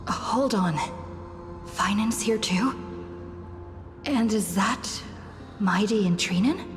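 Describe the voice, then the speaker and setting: A curious female observer, played by an actress, in a mid-pitched, hushed voice. She speaks slowly and wonderingly over loud music. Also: close to an everyday voice. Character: hushed, curious woman watching old memories unfold.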